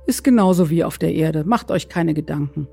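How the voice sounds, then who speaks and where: A woman's voice: sits low, a little fast, gravelly, dark tone, breathy edge. A woman giving explanatory interview answers as an expert, hesitant and searching for words. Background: background music.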